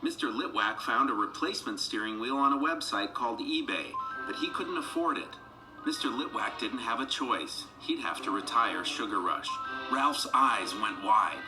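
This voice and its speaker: Animated male storybook narrator over music. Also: medium pitch, moderate pace, low-quality recording. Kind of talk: scripted children's read-along narration. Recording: music behind the voice.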